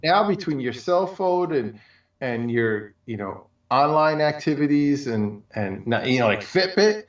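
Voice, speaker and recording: A man co-hosting a talk show in casual chat, speaking in a halting, rambling way. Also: medium pitch, animated. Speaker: show co-host. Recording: roomy, distant, dull microphone.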